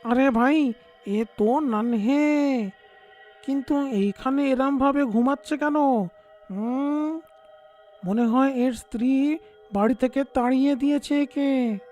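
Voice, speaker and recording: A simple-minded, inquisitive village man voiced by a woman in a cartoon tale. The voice is high and mellow with a drawl, over faint music. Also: slow pace, pauses often. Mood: puzzled.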